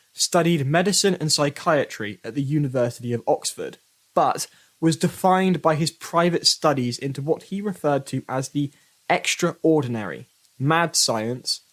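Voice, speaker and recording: A male host introduces an audio mystery in scripted narration. His voice sits a little high for a man, and his delivery is formal, measured and portentous. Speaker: host, presenter.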